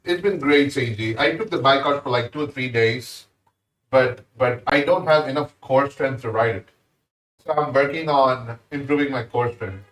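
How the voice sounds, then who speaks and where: A man's voice: mid-range voice, moderate pace, fairly bright tone. A man with an Indian accent, casually chatting with viewers while cooking on a live stream. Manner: easygoing, chats with viewers while working. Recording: roomy sound, mic at a distance.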